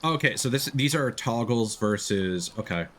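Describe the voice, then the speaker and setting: A man's voice: mid-range voice, lively intonation, moderate pace, fairly bright tone, a little hiss or hum. A man livestreaming casual gameplay commentary, thinking aloud in a playful way. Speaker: live streamer.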